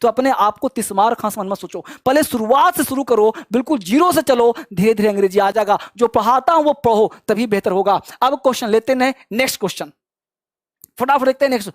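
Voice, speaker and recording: A man runs an online English class, quizzing students at a brisk clip. He teases and scolds along the way. His voice sounds dry, with no room echo. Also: very high voice. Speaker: English teacher. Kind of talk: online spoken English quiz lesson.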